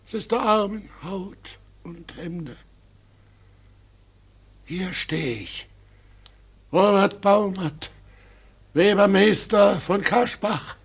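Husky, broken old weaver. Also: male voice, high-pitched, heavily acted. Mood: anguished outcry. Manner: halting, emotional, murmurs and cries out. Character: wretched, worn-out old weaver, bitter and aggrieved. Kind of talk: German radio drama acting.

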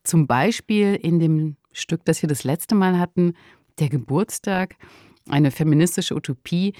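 A woman's voice: deep voice, darkish tone, no room sound. A woman co-hosting a podcast, giving conversational commentary on radio drama into a close studio mic. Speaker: podcast co-host.

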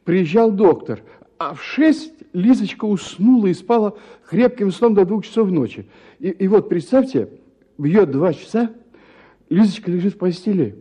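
A doting, anxious husband. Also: male voice, sits high, expressive, normal speed, warm tone, slightly rough. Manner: fussing, stammering. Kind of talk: radio play acting.

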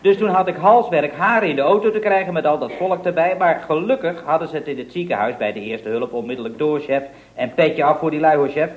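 A man's voice: fairly high pitch, brisk, few pauses, phone-quality sound, natural voice. An actor playing an earnest, slightly dim, subservient male employee in a radio comedy, speaking in clipped, deferential, stammering phrases. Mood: breathless self-justifying. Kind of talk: 1970s radio comedy acting. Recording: noisy vintage radio recording with clicks.

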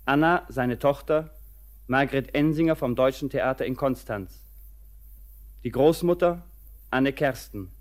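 Slow, formal male radio announcer. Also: mid-range voice. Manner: formal, measured. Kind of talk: formal scripted cast credits. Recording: 1940s radio broadcast.

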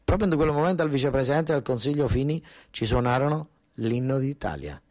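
A man tells a story as a theatre monologue in a southern Italian accent. His voice sits at a medium pitch in a dry recording. He speaks colloquially with dialect turns, repeating phrases and leaving dramatic pauses. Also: expressive, darkish tone, no room sound. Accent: southern Italian, Basilicata. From southern Italy. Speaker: stage storyteller and monologue actor. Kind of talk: theatrical first-person narrative monologue.

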